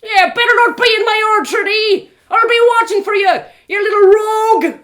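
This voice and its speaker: A woman's voice: very high-pitched, fairly even intonation, moderate pace, fairly bright tone, pitched above the performer's own voice. A woman voicing a suspicious rustic cottage owner who scolds brusquely in countrified speech. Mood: suspicious, shouting. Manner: rustic dialect grammar, brusque.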